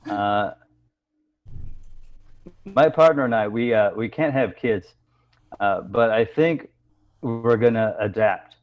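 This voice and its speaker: A man doing a stand-up set of deadpan one-liners over a video call, with hesitant fillers between jokes. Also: lowish voice, takes it slowly, long pauses, phone-quality sound. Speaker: stand-up comedian.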